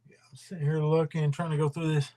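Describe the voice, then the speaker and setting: A man's voice: fairly high pitch, flat delivery, fast talker, mellow. A man hosting a casual livestream, rambling and drawling, his voice trailing off into mumbles. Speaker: livestream host.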